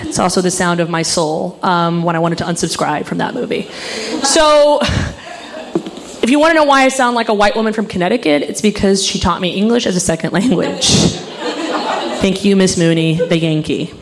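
A woman who grew up in Alabama, performing animated, self-deprecating stand-up comedy. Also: on the low side, moderate pace. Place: comedy club stage. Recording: noisy live club recording.

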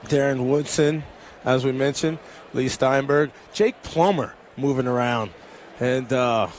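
A man's voice: mid-range voice, a little slow, a little hiss or hum. Chatty man hosting sports radio. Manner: animated, chatty, many fillers. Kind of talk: casual sports talk radio banter. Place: broadcast booth on a busy event radio row. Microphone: headset.

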